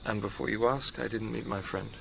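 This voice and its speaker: Casting: wary young man, radio drama; gravelly, mid-pitched, terse, earnest, over light cricket ambience. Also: steady tone, a little fast, a bit bright, a little hiss or hum. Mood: earnest, frustrated. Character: wary, frustrated young man entangled in mind games. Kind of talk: naturalistic radio drama acting.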